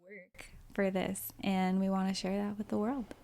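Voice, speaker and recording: A woman's voice: mid-range voice, little pitch movement, normal speed, gravelly, a little mellow. A woman in her mid-thirties co-hosting a podcast, telling stories in an upbeat way and laughing often. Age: 30s. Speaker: podcast co-host. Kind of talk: casual storytelling.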